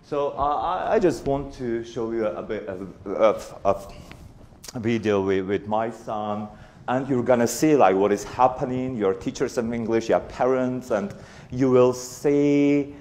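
A man's voice: mid-range voice, measured pace, a little mellow. A man in his forties speaking at a conference in a hall before an audience, hesitant, with many fillers and restarts. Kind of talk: conference talk.

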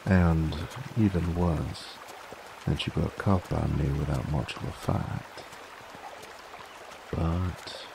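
A man playing a gruff, menacing werewolf bounty hunter in an audio roleplay, with an American Southern accent and a folksy, threatening cowboy drawl. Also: exaggerated voice. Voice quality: very deep, gravelly. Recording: clear trickling stream noise behind. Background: flowing water ambience.

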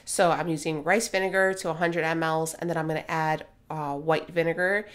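A woman's voice: low-pitched, level tone, fairly quick. A woman in her thirties narrating her home cooking in a casual, blunt, joking way, often checking in with "okay?". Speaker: vlogger.

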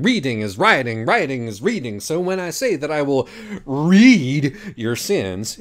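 Man reading an audiobook. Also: medium pitch. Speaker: audiobook narrator. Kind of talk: scripted narration, audiobook reading.

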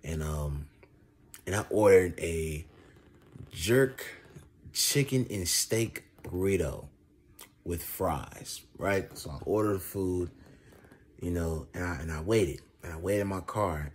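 A young American man with a low, deep voice talks casually to his phone. His delivery is conversational and slangy, circling back to repeat phrases for emphasis. Clear background noise sits behind him in an indoor home recording. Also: takes it slowly. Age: young adult. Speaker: food reviewer. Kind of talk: casual chatty vlog storytelling. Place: indoors, reclining on a couch. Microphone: phone at arm's length.

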